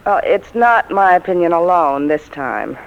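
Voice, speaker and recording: A woman's voice: on the low side, expressive, a little slow, gravelly. An actress playing an earnest, eager woman employee of a publishing house in a 1940s radio comedy. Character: earnest, enthusiastic female publishing-house employee pitching manuscripts. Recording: dull, lo-fi 1940s radio recording.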